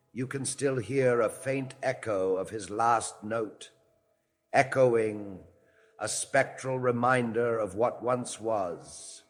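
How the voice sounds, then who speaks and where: A man's voice: medium pitch, close to an everyday voice. A man acting an old, nostalgic Frenchman who tells opera tales dramatically, dropping in French phrases, in an audio drama. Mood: grave, haunted. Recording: long hall reverb under music.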